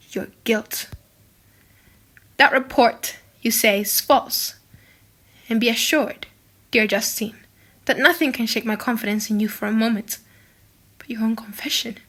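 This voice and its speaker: A woman voicing a devoted young gentlewoman, tender, grieving and imploring, in a dramatic audiobook. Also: on the high side. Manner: earnest, emotive, imploring. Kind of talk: dramatic audiobook character acting.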